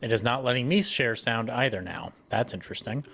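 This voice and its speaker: Male tech moderator, medium pitch, casual, faint noise and clicks on the call. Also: expressive, brisk, creaky, fairly bright tone. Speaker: technical moderator. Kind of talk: casual live troubleshooting. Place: video call. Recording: noisy video-call audio, clicks.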